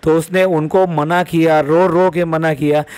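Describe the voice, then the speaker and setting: Higher-pitched man giving emphatic commentary. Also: steady tone, measured pace, a little mellow. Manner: emphatic, repeats phrases and asks rhetorical questions. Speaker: commentary vlogger. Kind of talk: opinionated reaction commentary.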